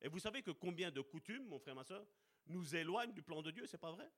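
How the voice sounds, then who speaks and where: A man preaching a sermon with passion, slowing down to stress key words and calling out to his brothers and sisters. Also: fairly high pitch, animated, a bit bright. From Italian heritage. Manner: impassioned, addresses congregation as brother and sister.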